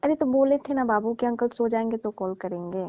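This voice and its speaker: A woman's voice: a little high, a little fast, no echo, close to an everyday voice. Woman acting a coaxing married lover, muffled call. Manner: coaxing, teasing, placating. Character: married older lover coaxing her sulking younger man. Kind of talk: scripted prank-style phone call drama in colloquial Hindi. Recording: dull lo-fi phone call recording.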